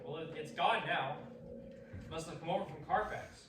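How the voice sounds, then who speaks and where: A man acts out a rational, authoritative doctor in a radio drama. The voice is fairly high and brisk, a touch flustered, recorded from a distance in a roomy space with faint noise. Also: close to an everyday voice. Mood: uneasy politeness. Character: authoritative, rational doctor and host, uneasily polite. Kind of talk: theatrical radio drama acting. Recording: echoey room, distant mic, faint noise.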